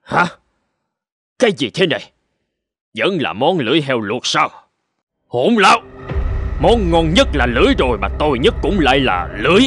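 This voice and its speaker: An actor playing a haughty, bossy middle-aged master in a cartoon folk tale, speaking lines imperiously. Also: male voice, a little high, very animated, normal speed, long pauses, a bit bright, husky, no room sound, exaggerated voice. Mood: outraged. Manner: imperious, scolding servants. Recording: music behind the voice.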